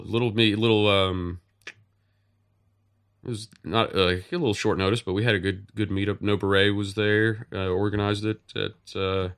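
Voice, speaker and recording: A man with a low, deep voice hosts a podcast. He chats loosely and rambles, stopping and restarting his sentences often. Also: clear, resonant tone. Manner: rambling, with many fillers and restarts. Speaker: podcast host. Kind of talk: casual podcast chat.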